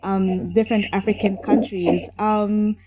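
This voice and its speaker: Mellow Zambian woman host. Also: medium pitch, fairly even intonation, measured pace, rough recording. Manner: hesitant, many "um"s and restarts, frequent "mm-hmm". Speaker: podcast host. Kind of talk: interview questions.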